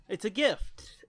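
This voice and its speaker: A man's voice: a bit bright, dry recording. An American man talks like a podcast co-host in casual conversation, swearing freely and joking irreverently as he tells stories. The sound is dry, with very little room to it. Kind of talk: casual chat, storytelling.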